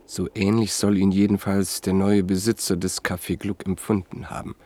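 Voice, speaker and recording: A man narrating a radio play in a measured, solemn manner. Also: sits low, flat delivery, dark tone.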